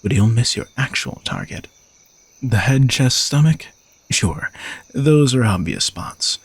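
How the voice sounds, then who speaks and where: A man playing an arrogant, teasing young knight bodyguard who is gruffly dutiful, in an audio roleplay spoken to the listener. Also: medium pitch, expressive, slow, no echo, exaggerated voice. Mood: confident, teasing.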